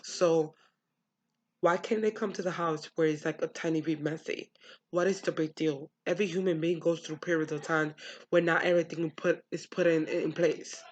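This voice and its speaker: A woman's voice: sits low, steady tone, moderate pace, muffled recording. A young adult woman from the United States talking bluntly and frankly to camera about her upbringing, swearing freely. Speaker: vlogger. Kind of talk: candid personal monologue.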